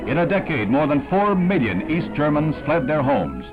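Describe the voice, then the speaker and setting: A man's voice: a little high, sing-song, normal speed. A man narrating an archival newsreel in a dramatic, emphatic announcer style. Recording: tinny archival newsreel audio with music.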